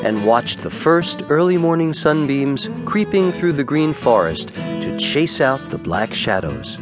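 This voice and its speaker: A man narrating a children's bedtime story audiobook with expressive, deliberate storytelling. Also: fairly high pitch, over music.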